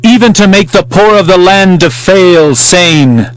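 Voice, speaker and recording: A man's voice: high-pitched, animated, a little slow, no echo. A man narrating a dramatized King James Bible reading in a solemn, declamatory manner. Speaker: scripture narrator. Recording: music under the voice. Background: music.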